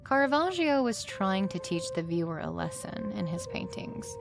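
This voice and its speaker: A woman playing a demanding, thoughtful high school art teacher in an audio drama, speaking in a deliberate, instructive way. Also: sing-song, gravelly, music in the background. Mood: earnest, instructive.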